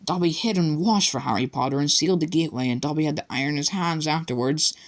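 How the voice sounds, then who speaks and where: A man's voice: a little high, quick pace, pitched above the performer's own voice, close to an everyday voice. A man voicing a small, miserable male house elf who talks humbly and servilely. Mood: pleading. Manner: humble, servile, refers to himself by name.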